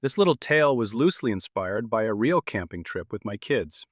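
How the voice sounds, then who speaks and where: A middle-aged man addresses listeners as a friendly host signing off. His voice sits a little low, recorded dry and close. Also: expressive, brisk, no echo. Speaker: channel creator and host. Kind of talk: friendly scripted sign-off to listeners.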